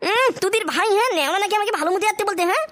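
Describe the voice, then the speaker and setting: A man voicing a bratty, foul-mouthed boy in an amateur comic cartoon, with a whiny, pitched-up voice and tag questions. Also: expressive, racing, bright, forceful tone, heavily acted. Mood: irritated. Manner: whiny grumbling, crude, repeated question tags.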